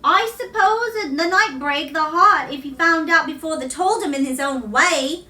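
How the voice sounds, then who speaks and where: A woman voicing a warm, plain-spoken middle-aged Yorkshire countrywoman in a storybook, with folksy, emphatic dialect. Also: high voice, normal speed. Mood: amused, fond. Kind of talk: children's storybook read-aloud. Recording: roomy echo, distant microphone.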